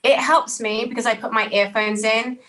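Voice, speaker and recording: A woman's voice: on the high side, steady tone, moderate pace, fairly bright tone. A woman, speaking earnestly and reflectively as a podcast guest and repeating phrases for emphasis. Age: 30s. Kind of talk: candid personal interview.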